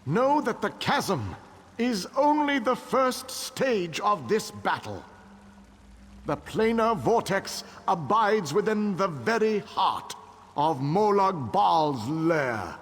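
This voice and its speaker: In a long, ringing hall, a noble fantasy game king with a husky, higher-set voice. He speaks slowly and formally, solemn and gracious, with stately swells as he rallies allies. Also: big pitch swings, heavily acted. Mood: grave, rousing. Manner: stately, measured, formal oratory. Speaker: war leader king. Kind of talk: dramatic fantasy game dialogue.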